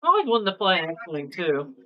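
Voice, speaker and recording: A woman with a Texan accent co-hosting a casual movie reaction chat, playful and quick to laugh. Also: fairly low pitch, very animated, highs cut off. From West Texas. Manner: playful, laughs easily, teasing banter. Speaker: co-host of a movie reaction channel.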